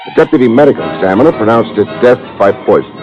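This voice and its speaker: An actor playing a wry, tough private detective in a 1950s radio drama, sardonic and slangy in both narration and dialogue. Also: male voice, mid-range voice, animated, fast, lightly acted. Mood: dry narrating. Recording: muffled old radio recording with music.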